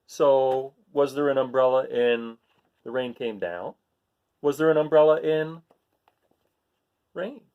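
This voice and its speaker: A man's voice: mid-range voice, slow, pauses often, smooth, muffled recording. A man reading picture books aloud to children, playing up the sound words and chatting simply to his young listeners in between. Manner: expressive, plays up sound words, simple child-directed phrasing. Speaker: read-aloud host for children. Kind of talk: animated storybook read-aloud with casual asides to young listeners.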